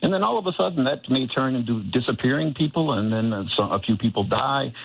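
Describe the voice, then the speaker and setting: A man phones in to a radio show. His voice is gravelly and husky, squeezed through a tinny phone line. He argues earnestly, one step at a time. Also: medium pitch, lively intonation, fast. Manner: earnest, building argument step by step. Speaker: caller. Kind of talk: call-in commentary.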